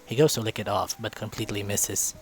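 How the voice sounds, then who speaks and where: A man's voice: fairly low pitch, steady tone, fairly quick, faint music behind, poor audio. A man reading a visual novel aloud as narrator, in a storytelling style.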